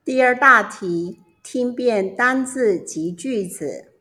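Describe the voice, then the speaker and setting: A woman reading the instructions and section titles of a school listening test in a measured way. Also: fairly high pitch, expressive, pushed and bright. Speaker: test announcer. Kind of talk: scripted exam instructions.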